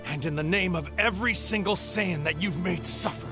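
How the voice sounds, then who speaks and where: A man's voice: sits high, normal speed. A man playing a proud, righteous young warrior hero in a dramatic anime video game, with intense delivery. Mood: solemn, proud. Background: dramatic music.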